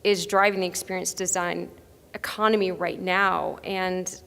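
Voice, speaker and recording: A woman's voice: fairly low pitch, flat delivery, measured pace, gravelly, fairly bright tone. A woman moderating a panel, introducing a guest and asking long questions. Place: conference hall. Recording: reverberant conference hall.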